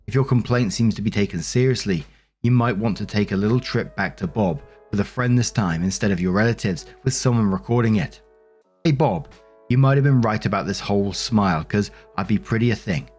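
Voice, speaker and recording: A man's voice: lowish voice, fairly quick, a little mellow, slightly rough, faint music behind. A man hosting and narrating online stories, mixing scripted reading with casual spoken commentary.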